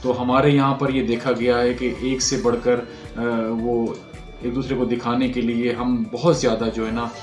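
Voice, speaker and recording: Echoey, flat-toned Pakistani male news host. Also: mid-range voice. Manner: halting, hesitant, searching for words. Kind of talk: unscripted news commentary addressed to viewers. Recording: echoey room with music underneath. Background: background music.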